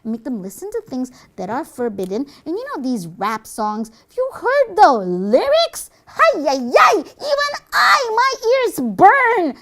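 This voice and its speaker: A woman's voice: high voice, wildly swooping pitch, unhurried, few pauses, fairly bright tone, full character voice. A woman voicing a boastful, mischievous devil minion in a children's puppet show, gloating with dramatic exclamations.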